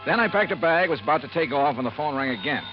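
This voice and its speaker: An actor playing a brisk, wry insurance investigator in a 1950s radio detective drama, clipped and dramatic. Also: male voice, a little high, lively intonation, lightly acted. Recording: dull vintage radio recording with music.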